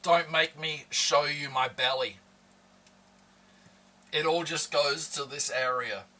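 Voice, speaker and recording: Man, bright tense tone, teasing casual stream chat, somewhat distant mic. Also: fairly high pitch, slow pace. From born in Hong Kong, raised in Australia. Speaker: livestreamer. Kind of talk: casual live-stream chat while eating.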